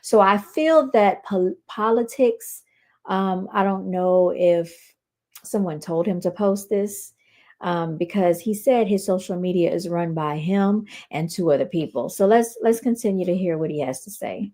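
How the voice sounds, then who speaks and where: A woman's voice: fairly low pitch, measured pace, darkish tone. An American woman hosting a reaction show, giving casual, opinionated commentary and repeating her points for emphasis.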